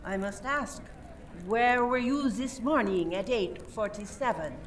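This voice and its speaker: A French police inspector in a radio play, acted by a woman, formal and methodical. The delivery is slow and measured, every question set out deliberately. The voice sits a little way from the microphone. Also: on the high side, far from the mic, slight background noise, lightly acted. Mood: formal, probing.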